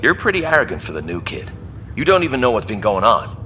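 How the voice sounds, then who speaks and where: An actor playing a resentful, combative station worker in a video game. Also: male voice, on the low side, very animated, fast talker, bright, forceful tone, a little hiss or hum. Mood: irritated. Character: bitter defiant male station worker, confessed saboteur.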